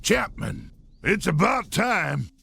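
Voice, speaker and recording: A gravelly old army colonel. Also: male voice, high-pitched, very animated, takes it slowly, pushed and bright, full character voice. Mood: gruff. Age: older. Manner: gruff, boastful and commanding. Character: blustering old army colonel, cigar-loving and bossy.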